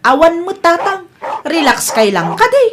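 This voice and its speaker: A woman's voice: very high-pitched, very animated, unhurried, exaggerated voice. A woman playing a breezy, stubborn village daughter in a radio comedy drama, whining in protest with an Ilocano accent. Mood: dismissive. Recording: clear noise of barking dog. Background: dog barking.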